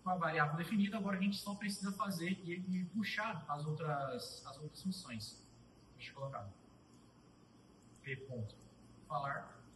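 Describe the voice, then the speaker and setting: A young man with a fairly high voice talks through code as he types it. He thinks aloud, pauses and restarts his sentences, and sounds a little distant from the mic. The room adds a roomy echo, with a faint hum of ambience behind him. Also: fairly bright tone. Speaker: student presenter. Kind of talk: live coding tutorial.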